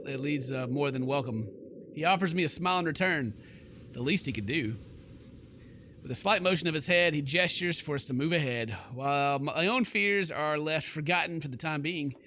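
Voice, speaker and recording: A man's voice: a little high, pushed and bright, a little hiss or hum. A man doing jokey, bro-ish game commentary and expressively reading story text aloud as a let's player.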